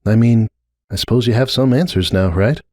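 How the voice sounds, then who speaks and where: A man's voice: mid-range voice, brisk, darkish tone, no room sound, studio quality, natural voice. A man voicing an earnest, helpful young female pony in a dramatic story reading, with a polite, considerate manner. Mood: sheepish.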